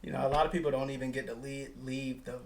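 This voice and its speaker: A man's voice: medium pitch, steady tone, fast, vocal fry. A man hosting a casual podcast, laid-back and joking with his guest. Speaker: podcast host. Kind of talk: casual chat.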